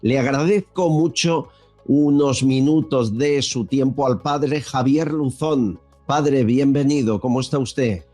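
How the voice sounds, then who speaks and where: A man hosting a podcast interview, asking questions slowly with many hesitations. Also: medium pitch, dark tone, faint music behind. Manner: slow, hesitant with many fillers. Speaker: podcast host.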